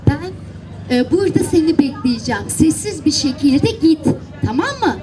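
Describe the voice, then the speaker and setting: A woman's voice: high voice, animated, moderate pace, over music, low-quality recording. A woman voicing a caring mother goat in a children's tale, coaxing her kid with repeated words. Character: mother goat, caring, excited and gently bossy. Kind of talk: live children's storytelling. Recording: live event, faint crowd and noise.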